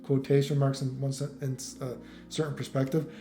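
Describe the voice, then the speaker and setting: Male gaming commentator, mellow tone, opinionated and rambling with swearing, unscripted, music playing behind him in a roomy space. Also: medium pitch, little pitch movement, a little fast. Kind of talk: casual off-the-cuff ranting game commentary.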